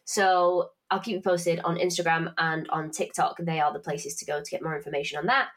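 A young woman with a fairly low voice and a blocked, snuffly nose from hay fever. She hosts her podcast in a loose, chatty way, cursing casually and poking fun at herself. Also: steady tone, a little fast, fairly bright tone. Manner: chatty, swearing, self-mocking. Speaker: podcast host and finance tutor. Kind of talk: casual podcast chat with promotion.

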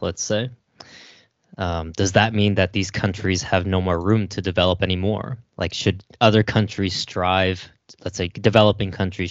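Very deep-voiced man hosting a podcast. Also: no room sound. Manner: measured, searching for words, frequent "you know". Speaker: podcast host. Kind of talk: casual podcast discussion. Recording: dry, dull lo-fi sound.

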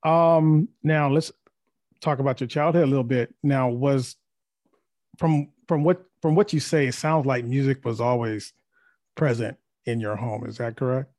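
A man hosting a music podcast, asking questions in a halting way with many fillers. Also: mid-range voice, fairly even intonation, darkish tone, dry recording, studio quality. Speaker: podcast host. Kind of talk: podcast interview.

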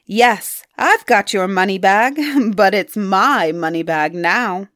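A woman's voice: medium pitch, slow, a bit bright, no echo, clean studio sound. A woman voicing a bold, cheeky young boy in a storybook, gleeful and taunting.

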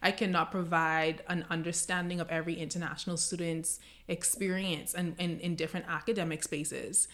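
A woman from the Bahamas, an educator who works with international students, speaking in a measured, thoughtful way on a podcast. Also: lowish voice, flat delivery, fairly bright tone. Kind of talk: casual podcast discussion.

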